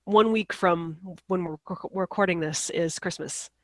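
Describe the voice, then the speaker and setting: A woman's voice: fairly low pitch, measured pace, no room sound. A woman co-hosting a casual podcast, her voice congested from a cold, apologetic and laughing at herself. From United States. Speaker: podcast co-host. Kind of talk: casual chat.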